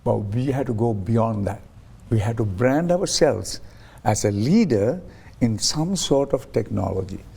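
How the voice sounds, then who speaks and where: A man's voice: lowish voice, lively intonation, a little slow, vocal fry, dark tone, husky. A man interviewed as a guest on a talk show, explaining his ideas through stories and acting out quoted voices, with frequent restarts. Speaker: guest, science ambassador.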